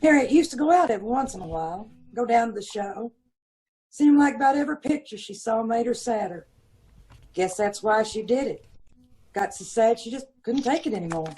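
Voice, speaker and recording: A woman's voice: a little high, lively intonation, a little fast, dark tone, a little hiss or hum, lightly acted, low-quality recording. A woman playing a gossipy, aggrieved middle-aged landlady and mother of five, talking folksy and ungrammatical in old-time radio style. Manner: folksy ungrammatical talk, indignant and self-pitying. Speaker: witness in radio police drama.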